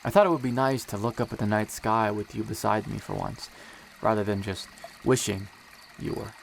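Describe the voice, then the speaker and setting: A tender young emperor played by a man speaks in a slightly low voice, sincere and gently teasing, as in a romantic audio drama. Running creek water makes a noticeable wash of noise behind the words. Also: lively intonation, normal speed, creaky. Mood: earnest, tender.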